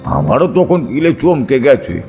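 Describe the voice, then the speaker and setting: A man narrating a scripted ghost story in a suspenseful, expressive, dramatic manner. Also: medium pitch, unhurried, soft background music.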